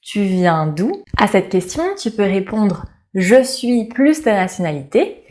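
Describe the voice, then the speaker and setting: A young woman from France teaches everyday French phrases as a language teacher. She speaks clearly and patiently to the viewer. She slows down to repeat each example sentence. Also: medium pitch, lively intonation, a little mellow. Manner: clear, slow repetition of model phrases. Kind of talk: language lesson to camera.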